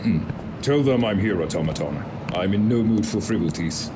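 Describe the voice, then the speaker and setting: A man acting as a gruff, haughty Norse thunder god in an audio drama, stern and pompous in formal speech. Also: deep voice, expressive, moderate pace, background noise. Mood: irritated.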